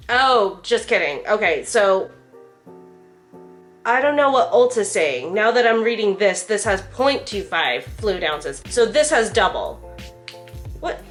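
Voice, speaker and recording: A woman's voice: mid-range voice, fairly bright tone. A young woman reviewing makeup in a chatty vlog, sounding congested and nasal from the flu and filling her talk with "like". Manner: chatty, lots of "like" fillers. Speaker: beauty vlogger. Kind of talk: casual product review.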